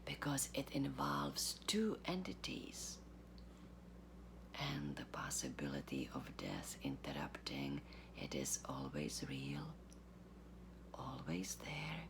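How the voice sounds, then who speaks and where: A woman giving a literary reading, with deliberate, measured phrasing, long pauses and a breathy, gravelly voice. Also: extremely low pitch, sing-song, noisy background. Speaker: artistic director of a theatre, reading aloud.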